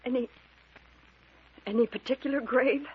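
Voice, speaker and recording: On a dull old radio recording, a high-pitched, anxious young heroine. She speaks breathlessly and melodramatically, frightened and full of concern, in the manner of a vintage thriller. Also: fairly even intonation, normal speed, breathy edge. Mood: alarmed. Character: frightened, anxious young woman hearing a horror tale.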